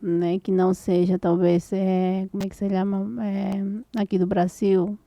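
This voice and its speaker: A woman's voice: medium pitch, completely flat delivery, a little slow, dark tone. A woman from Peru with a Peruvian Spanish accent, chatting in Portuguese as a co-host, hesitant and searching for words. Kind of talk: casual conversation.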